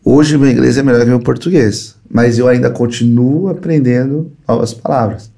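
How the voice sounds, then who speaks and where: A man's voice: medium pitch, moderate pace, vocal fry. A middle-aged man from Brazil, a company CEO, telling stories animatedly as a podcast guest, dropping "cara" and "né" often. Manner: animated storytelling, frequent "cara" and "né". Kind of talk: casual podcast conversation. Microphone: podcast studio mic.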